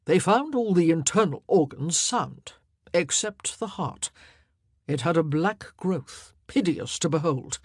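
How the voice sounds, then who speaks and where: A man's voice: fairly high pitch, lively intonation, measured pace, breathy edge, dry recording, studio quality, full character voice. A woman voicing a bold, commanding king in an audiobook, with emphatic, declamatory delivery. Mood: grave, confiding. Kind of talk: audiobook character dialogue.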